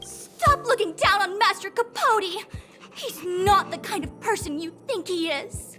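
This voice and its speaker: Very squeaky devoted young fangirl. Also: animated, unhurried, pushed and bright, soft background music, heavily acted. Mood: defensive, indignant. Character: gushing, fiercely loyal young female fan of a researcher. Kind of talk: video game voice acting.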